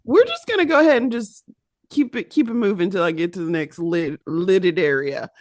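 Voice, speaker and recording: Lively Midwestern woman podcast host. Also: on the low side, normal speed. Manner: animated, laughs often. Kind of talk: casual pop-culture chat.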